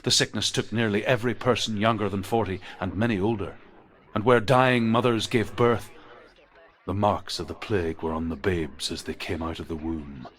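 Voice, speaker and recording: Actor as solemn old-world storyteller, deep male voice, grave, measured, weighty game narration. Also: steady tone, a bit bright, close to an everyday voice.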